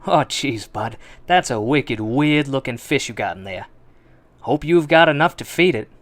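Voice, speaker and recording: A man's voice: medium pitch, lively intonation, moderate pace, close to an everyday voice. A man playing a plain-spoken delivery man in a radio drama, friendly but rattled, talking in a casual, slangy way. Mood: uneasy, casual.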